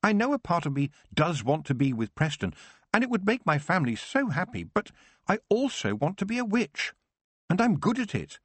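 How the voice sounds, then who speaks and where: A man's voice: high-pitched, big pitch swings, moderate pace, fairly bright tone, slightly rough, no room sound, voice pushed up, exaggerated voice. A man reading aloud, voicing an earnest young woman witch who is proud of her craft. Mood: heartfelt, troubled. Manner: earnest, heartfelt.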